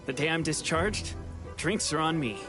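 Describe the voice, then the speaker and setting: A man voicing a wry, grateful wounded young soldier in a video game, with a measured way of talking. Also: fairly high pitch, music in the background, close to an everyday voice.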